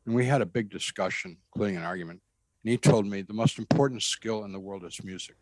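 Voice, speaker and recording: An earnest man with a bass voice telling his story to an interviewer. He is emphatic and repeats phrases as he goes. The recording is dry, with no room sound. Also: quick pace, a little mellow, no room sound, rough recording. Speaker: guest describing a spiritual experience. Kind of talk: conversational storytelling.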